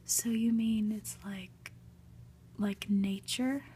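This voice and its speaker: Storybook everyman, worried and confused played by a woman, breathy hesitant whisper, mellow, faint noise behind. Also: mid-range voice, fairly even intonation, slow pace, lightly acted. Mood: uneasy. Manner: hesitant, plaintive questioning. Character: Dave, anxious bewildered ordinary man seeking answers.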